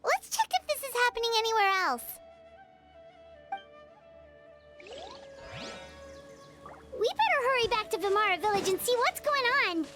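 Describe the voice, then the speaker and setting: A tiny, curious fairy companion from a video game, with an extremely high childlike voice. The delivery is excitable and very animated, with prominent music playing behind it. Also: female voice, quick pace. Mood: excited, urgent. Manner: excitable, expressive, exclamatory.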